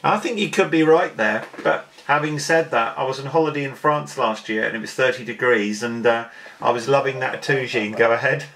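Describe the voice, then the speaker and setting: A man's voice: medium pitch, fairly quick, gravelly, a bit bright, a little hiss or hum. A middle-aged man with an English accent, chatting in an easygoing way over amateur radio. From England. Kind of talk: casual radio contact chat.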